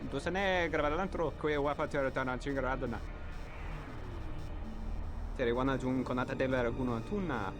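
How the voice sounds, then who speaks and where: A man performs a sci-fi game alien rattling off guttural made-up speech. The pitch is average, the pace quick, and music plays behind. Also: steady tone, lightly acted. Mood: businesslike. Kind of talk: video game voice acting.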